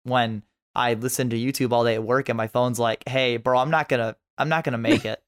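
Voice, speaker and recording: Male podcast guest, mid-range pitch, informal conversational delivery. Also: steady tone, brisk. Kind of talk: casual chat.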